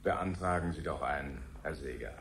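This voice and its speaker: An actor playing a flippant, offhand official in a 1970s German radio drama. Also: male voice, very deep voice, normal speed. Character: flippant, bored male official rattling off regulations. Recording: faint noise on 1970s radio recording.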